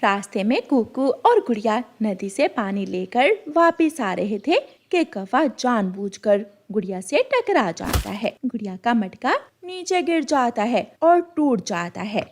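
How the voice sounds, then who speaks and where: High, animated woman storyteller. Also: unhurried, few pauses. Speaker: narrator. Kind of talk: scripted story narration.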